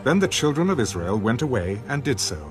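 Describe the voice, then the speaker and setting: A man's voice: mid-range voice, big pitch swings, quick pace. Male scripture narrator over prominent music.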